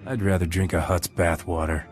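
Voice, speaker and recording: A man's voice: deep voice, steady tone, normal speed, soft background music, close to an everyday voice. An actor playing a cocky, wisecracking male smuggler captain in a video game, with a wry, flippant delivery. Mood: flippant, defiant.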